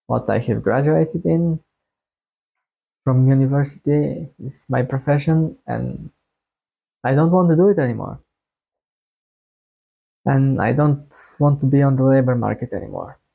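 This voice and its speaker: A young man from Bulgaria with a Bulgarian accent, talking casually to his viewers in a halting, hesitant way. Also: mid-range voice, vocal fry, warm tone, breathy edge. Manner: halting, hesitant delivery with long phrasing breaks. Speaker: YouTuber introducing a music channel. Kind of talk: casual to-camera monologue.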